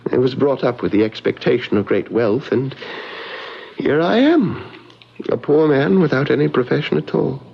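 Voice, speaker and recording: A man playing a nervous, reclusive English gentleman, halting, formal and fretful, in old-fashioned radio mystery acting. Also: on the high side, creaky, breathy edge, full character voice. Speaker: radio drama actor. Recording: muffled vintage radio recording.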